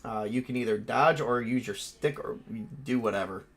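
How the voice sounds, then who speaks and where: A man hosts a tabletop roleplaying session as game master. His pitch sits in the middle for a man, and he describes the action informally, mixing rules talk with dramatic narration. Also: moderate pace.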